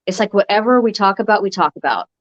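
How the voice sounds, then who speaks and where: A woman's voice: medium pitch, expressive, fast talker, no room sound. A woman hosting a free-flowing interview, chatty and encouraging. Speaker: interview host. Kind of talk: casual interview chat. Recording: dry, dull lo-fi call audio.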